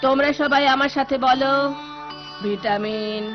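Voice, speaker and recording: A woman's voice: high-pitched, measured pace. A woman playing a bossy, imperious, impatient new schoolteacher in a cartoon. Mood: instructing. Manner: scolding, imperious. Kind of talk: cartoon voice acting. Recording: dull lo-fi cartoon audio with music.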